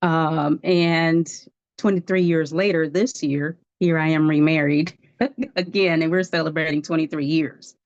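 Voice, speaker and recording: A woman in her fifties with a low voice tells her story as a guest, earnest and testifying, now and then laughing at herself. The sound is dry and a little dull, like a lo-fi online call. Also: fairly even intonation, a little slow, no echo. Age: 50s. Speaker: guest life coach. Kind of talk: livestream testimony.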